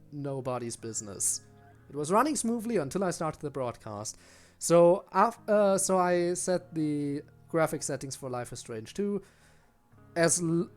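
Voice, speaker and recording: A German man with a German accent, chatting casually with viewers during a live game stream, rambling and often restarting his sentences. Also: on the high side. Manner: rambling, with frequent restarts while answering chat. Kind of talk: casual live-stream chat while gaming.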